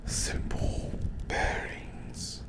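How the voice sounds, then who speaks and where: A performer voicing a menacing, sadistic demon in a breathy, gravelly whisper, taunting theatrically in a dark fantasy ASMR roleplay. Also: very noisy. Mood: threatening, contemptuous. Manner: slow, drawn-out, taunting, theatrical. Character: menacing sadistic demon guarding its unholy lair.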